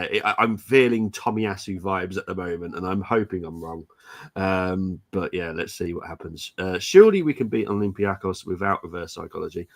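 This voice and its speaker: A deep-voiced man hosts a football stream in casual chat. He sounds congested from a cold and talks bluntly, with opinionated, jokey grumbling. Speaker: football podcast host.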